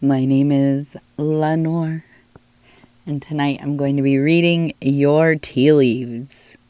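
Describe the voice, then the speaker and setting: A woman with a low, hoarse voice that is half gone from illness reads tea leaves in an unhurried, soft-spoken way. She muses aloud and reassures the listener directly, close and dry with no room sound. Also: steady tone, dry recording, muffled recording. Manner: musing, reassuring, talks straight to the listener. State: hoarse, losing her voice from illness. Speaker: tea leaf reader. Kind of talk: soft-spoken divination reading, ASMR.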